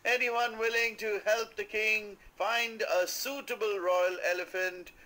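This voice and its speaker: A man's voice: high-pitched, steady tone, measured pace, sharp tone, pitched above the performer's own voice. A man voicing the king's heralds, bellowing a proclamation through the streets in a storybook reading. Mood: proclaiming. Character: king's heralds, loud male town criers.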